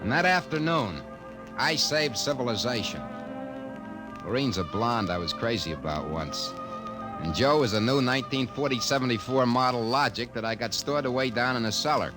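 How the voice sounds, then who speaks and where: A man acts out a wry working-guy repairman from an old radio play. He grumbles and wisecracks in slangy everyday talk, at a middling pitch, with music behind the old broadcast. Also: expressive, normal speed, lightly acted. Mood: wry, confiding. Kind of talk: 1950s radio drama acting.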